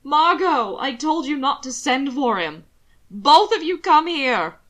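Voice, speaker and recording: A strained, short-tempered young lady in labor from a storybook, high, loud and sharp, as a woman reading aloud puts her on. Her words come out pinched with fear and pain. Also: moderate pace. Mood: frantic.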